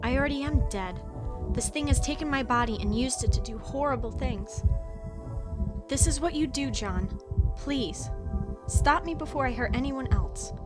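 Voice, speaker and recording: A woman's voice: high-pitched, expressive, normal speed, over loud music. An actress playing an anguished, remorseful woman turned killer in a motion comic, with a confessional, pleading delivery. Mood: pleading, despairing. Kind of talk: dramatic motion comic acting.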